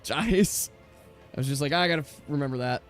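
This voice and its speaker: A man's voice: a little high, normal speed. A man narrating casually as game master on a livestream, close on the mic. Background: music.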